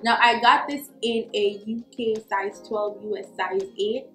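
A woman's voice: mid-range voice, fairly even intonation, a little slow, a bit bright, reverberant, poor audio. A young woman chatting casually as a fashion vlogger, emphatic and slangy, drawing words out for effect. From Jamaica. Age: young adult. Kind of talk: casual chatty lookbook commentary. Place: small furnished room at home, indoors. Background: faint music.

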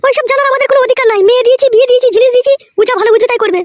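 A man voicing a bossy, snappish middle-aged village mother in a cartoon, scolding with a heavily pitched-up voice. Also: steady tone, rapid-fire, dry recording, clean studio sound. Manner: scolding, insistent. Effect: extremely pitched-up cartoon voice.

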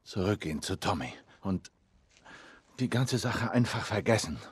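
An actor playing a gruff, protective middle-aged survivor in a video game, terse and subdued with a rough voice. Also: male voice, lively intonation, moderate pace, no echo. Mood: weary, gentle. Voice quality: husky, rough low voice. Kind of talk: cinematic video game dubbing.

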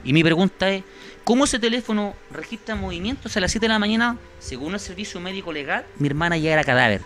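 Indignant Chilean man, slightly high. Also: lively intonation, quick pace, a bit bright, soft background music. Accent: Chilean Spanish. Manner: insistent, indignant, repeats words while searching. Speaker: guest, victim's brother. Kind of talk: studio interview. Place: small indoor studio. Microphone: handheld mic.

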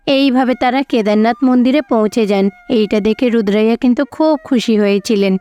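A woman's voice: a little high, a little mellow, smooth, lo-fi sound. A woman reading scripted storybook narration for a cartoon. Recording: faint music behind.

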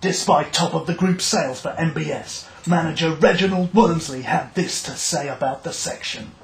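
A man's voice: fairly high pitch, moderate pace, creaky, slight background noise. A man playing an earnest, self-important British TV reporter in a mock investigative news show, with dramatic, portentous delivery. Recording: roomy voice with music behind.

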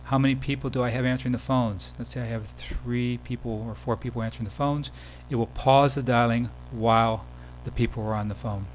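Male demo presenter, casual screencast tutorial delivery, mid-pitched voice, slight hum and noise in the recording. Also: fairly even intonation, a little fast, few pauses, low-quality recording.

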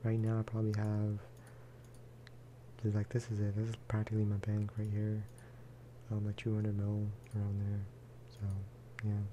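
A man speaking softly in a low-key, rambling ASMR style as he chats over gameplay. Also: monotone, normal speed, noisy background. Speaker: gaming video creator. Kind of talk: soft-spoken ASMR gameplay chat.

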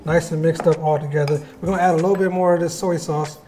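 A man's voice: on the high side, fairly even intonation, fast, warm tone, slight background noise. An American man in his thirties hosts a cooking show, chatting casually as he explains steps and asks questions. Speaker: cooking show host and chef. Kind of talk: casual cooking demonstration and interview chat. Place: home-style kitchen, indoors. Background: music.

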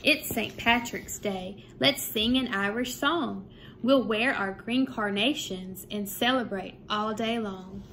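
A woman, a grade-school teacher speaking in measured, simple phrases as in a read-aloud for young children. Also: mid-range voice, a bit bright. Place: small room at home, indoors. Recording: clear background room noise.

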